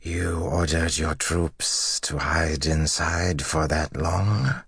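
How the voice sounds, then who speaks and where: A man voicing a slow, dying enemy general. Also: extremely low pitch, steady tone, gravelly, a bit bright, slightly rough, dry recording, studio quality, exaggerated voice. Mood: weary disbelief. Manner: slow, halting, weakened. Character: dying defeated enemy general, weary, grimly admiring. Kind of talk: audiobook character dialogue.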